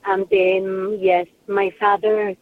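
A woman's voice: mid-range voice, level tone, takes it slowly. A woman from Puerto Rico with a Puerto Rican accent, a radio guest eagerly telling her life story. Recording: tinny phone line.

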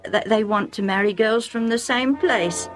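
A woman's voice: fairly high pitch, normal speed, soft background music, pitched above the performer's own voice, lightly acted. A woman voicing a sheepish young eldest son who stammers as he explains himself. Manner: stammering and defensive.

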